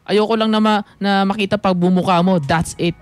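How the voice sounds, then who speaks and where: A man's voice: high-pitched, fairly even intonation, brisk, clear, resonant tone, close to an everyday voice. Man playing a resentful, defiant young son over music. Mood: defiant, bitter. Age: young adult. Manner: curt, mixes English into Filipino. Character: resentful, defiant twenty-one-year-old son. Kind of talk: radio drama dialogue.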